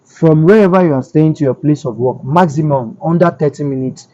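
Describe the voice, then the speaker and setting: A man's voice: fairly high pitch, fairly quick, mellow. Nigerian man explaining things frankly. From Lagos, Nigeria. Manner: frank and explanatory, repeats phrases for emphasis. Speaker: interviewee. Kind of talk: casual street interview. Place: outdoors on a street. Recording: dull lo-fi audio.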